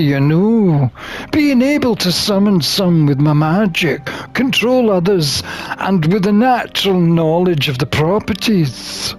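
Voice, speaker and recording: A man reading a visual novel aloud as a gamer, expressive and theatrical, with drawn-out phrasing. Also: sits high. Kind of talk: expressive read-aloud of game text.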